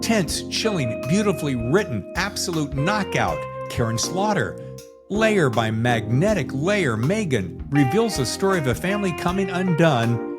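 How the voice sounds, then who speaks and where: A middle-aged American man hosting a podcast, with a playful, theatrical way of talking. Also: mid-range voice. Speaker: podcast host. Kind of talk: show introduction and interview chat.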